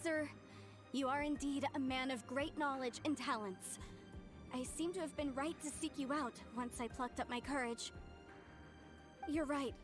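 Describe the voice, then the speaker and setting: An earnest young woman from a game, formal and impassioned. Her voice sits high. Faint game music runs underneath. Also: measured pace, long pauses. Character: earnest young woman, salt-trade descendant. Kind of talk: game voice acting.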